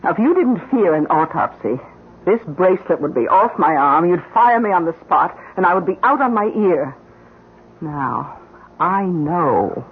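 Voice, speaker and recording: Clear-voiced, scheming radio-mystery housekeeper. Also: female voice, fairly low pitch, lively intonation. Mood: coolly insinuating. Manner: deliberate, insinuating, theatrical phrasing. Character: scheming middle-aged housekeeper, coolly blackmailing her employer. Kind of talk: old-time radio mystery acting. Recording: muffled old radio recording.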